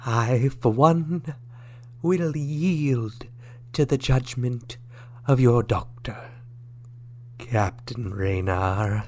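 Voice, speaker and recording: An actor playing an evasive alien ambassador in a sci-fi audio drama, halting and elaborately courteous. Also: male voice, mid-range voice. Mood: smoothly deferential. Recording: clear background noise with hum.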